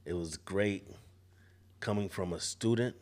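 A man's voice: deep voice, unhurried. A man in his forties, a barber talking on a podcast with a studio mic in a barbershop space, thoughtful and often restarting his sentences. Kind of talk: casual panel discussion. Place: barbershop event space, indoors. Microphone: podcast mic on boom arm.

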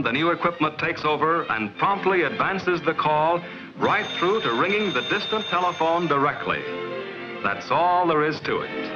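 American guy narrating an old 50s promo film, highish voice, muffled soundtrack w music. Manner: measured, explanatory, clearly enunciated. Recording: dull vintage film soundtrack.

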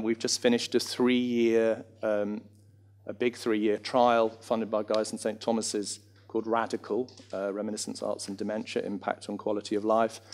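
Male, middle-aged. Lowish voice, reflective and discursive, informal panel delivery with a self-deprecating streak. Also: darkish tone. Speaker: panellist, reminiscence arts practitioner and former actor. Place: small theatre stage in front of an audience. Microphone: handheld microphone.

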